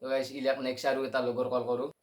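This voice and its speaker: A man's voice: mid-range voice, monotone, rapid-fire. A man with an Assamese accent chatting casually and directly to his viewers as host of a prank call video. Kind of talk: casual vlog commentary to viewers.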